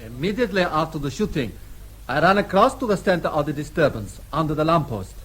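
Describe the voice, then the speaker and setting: Austrian police inspector, formal report, husky, varied intonation, methodical, radio drama. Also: male voice, a little high, very animated, fast, fairly bright tone, full character voice. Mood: official. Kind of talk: British radio drama acting.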